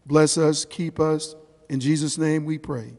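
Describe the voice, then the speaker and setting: A man's voice: a little high, little pitch movement, a little slow, gravelly, darkish tone, slight background noise. A man leading a public prayer in a solemn, emphatic way, phrase by phrase, at a civic meeting. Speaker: council member leading opening prayer. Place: indoor council chamber. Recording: reverberant hall.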